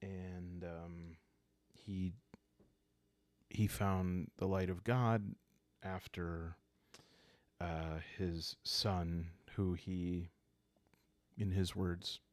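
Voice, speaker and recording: A man speaking softly and calmly, improvising a story for a solo roleplaying game and thinking aloud hesitantly. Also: low voice, dry recording. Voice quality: soft, calm. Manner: hesitant, thinking aloud with long pauses and sighs. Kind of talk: calm unscripted storytelling for sleep, journaling game playthrough.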